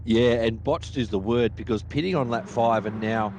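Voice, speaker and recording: A man's voice: medium pitch, moderate pace. A man with a South African accent, co-commentating a sim race live, chatty and analytical, often restarting his sentences. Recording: steady background noise.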